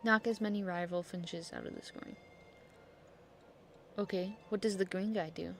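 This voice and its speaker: A woman's voice: mid-range voice, fairly even intonation, moderate pace, a little hiss or hum. A woman streaming a game, thinking aloud in short, casual, reactive remarks as she plays. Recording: game music behind voice. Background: video game music.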